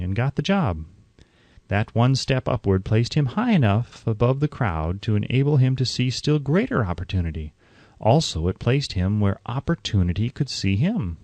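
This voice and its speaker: Low-voiced man with very animated narration. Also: dry recording. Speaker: audiobook narrator. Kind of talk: scripted audiobook narration.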